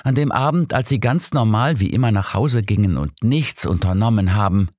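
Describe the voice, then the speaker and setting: A gloating, menacing male murderer, acted out by an audiobook narrator, taunting his victim with theatrical false cheer. The voice often lifts higher, in a very dry recording. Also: animated, moderate pace, a little mellow, no room sound. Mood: resentful. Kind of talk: audiobook character acting.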